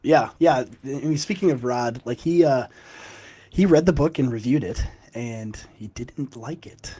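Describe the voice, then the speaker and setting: A young man with a hoarse, gruff voice from a cold, a guest author talking emphatically in an interview and often tagging points with "right?". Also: medium pitch, a little slow, mellow. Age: young adult. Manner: emphatic, with frequent "right?" tags. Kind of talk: conversational interview.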